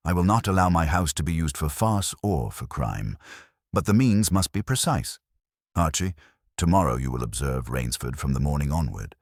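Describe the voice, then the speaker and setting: A man voicing an imperious, disdainful genius detective with calm, precise, pompous phrasing in an audiobook. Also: extremely low pitch, normal speed, dry recording, studio quality, exaggerated voice. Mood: calm, resolute. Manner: precise, pompous, formal wording.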